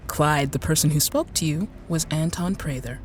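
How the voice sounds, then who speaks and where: A man's voice: a little high, normal speed, soft background music. A man reading scripted credits as a radio drama announcer.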